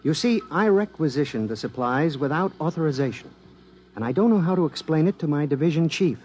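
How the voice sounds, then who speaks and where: A man's voice: fairly high pitch, lively intonation, a little fast, a little mellow. An actor playing an earnest, modest male government scientist who explains technical matters hesitantly, in 1950s radio drama style. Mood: anxious.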